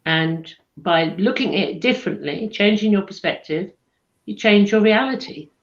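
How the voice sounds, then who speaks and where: A woman's voice: mid-range voice, normal speed. A woman with a posh southern English accent, chatting casually as co-host of a live chat show, calling people darling. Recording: echoey room, dull lo-fi sound.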